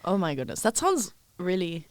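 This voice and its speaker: A woman's voice: lowish voice, no room sound. A young woman from Zimbabwe hosting a podcast, chatting casually and telling stories with playful quoted voices. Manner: animated, quick storytelling with playful quoted voices. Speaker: podcast host. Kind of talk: casual chat.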